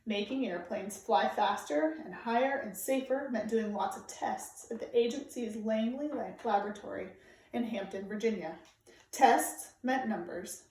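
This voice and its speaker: A woman reading aloud to children like a teacher, with clear, deliberate, emphatic phrasing. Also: mid-range voice, darkish tone. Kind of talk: read-aloud storytelling for children, informal introduction. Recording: very echoey room, distant microphone.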